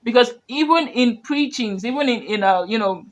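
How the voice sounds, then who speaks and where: High-voiced man. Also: expressive, moderate pace. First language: Yoruba. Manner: rambling, earnest, full of "you know" and restarts. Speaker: media commentator. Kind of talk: reaction commentary on video clips.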